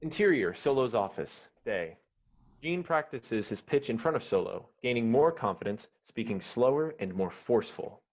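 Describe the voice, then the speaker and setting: A man's voice: medium pitch, animated, moderate pace, lo-fi sound. A man reading stage directions as scripted narration for a screenplay table read.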